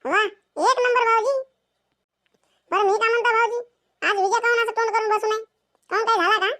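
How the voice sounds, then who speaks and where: A performer voicing a chatty young visiting sister-in-law in a comedic cartoon, bright-voiced, with a rural Maharashtrian Marathi accent. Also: very high voice, steady tone, normal speed. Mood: chirpy teasing. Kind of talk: comedic cartoon skit dialogue.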